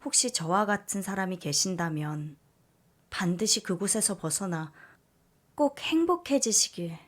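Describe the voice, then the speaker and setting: A woman narrating a first-person story aloud, in a calm, even manner. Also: medium pitch, unhurried. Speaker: story reader.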